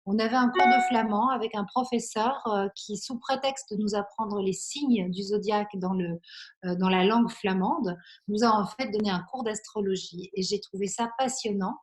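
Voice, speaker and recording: A woman in her forties from Belgium, an interview guest speaking reflectively and earnestly, often halting as she searches for words. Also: mid-range voice. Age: 40s.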